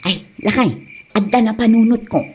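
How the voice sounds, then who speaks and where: A woman's voice: fairly high pitch, moderate pace, a little mellow, a little hiss or hum, lightly acted. A woman playing a bossy, scheming married woman in a comedy drama, with an emphatic, scolding way of talking. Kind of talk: comedy drama voice acting in Ilocano.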